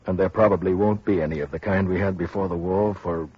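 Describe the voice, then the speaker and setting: Grim male medic, low gravel-husky voice, blunt, foreboding, dry muffled radio play. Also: fairly even intonation, quick pace, no echo. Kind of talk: old-time radio drama acting. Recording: muffled old radio recording.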